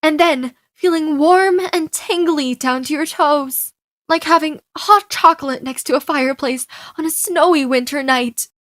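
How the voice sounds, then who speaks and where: A feisty young female con artist played by a woman tells her story in an animated fan dub. Her voice is high and theatrical, with expressive delivery. The recording is close and dry, with no room sound. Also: normal speed, no room sound. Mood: flustered, gushing. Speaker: amateur fan dubber. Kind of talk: animated story fan dub, first-person narration with dialogue.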